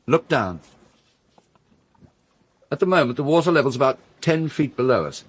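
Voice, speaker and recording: A grave storyteller in an audio drama. His voice is husky, mid-pitched, and he lays out his dark tale deliberately, with dramatic pauses. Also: very animated. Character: grave male host recounting a grim wartime tale. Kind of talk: radio drama acting, storytelling.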